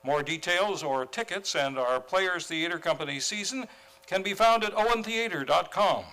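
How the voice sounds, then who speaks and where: A male announcer works in scripted old-time radio style. His voice is a little high for a man, bright and forceful. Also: normal speed, low-quality recording. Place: theater stage.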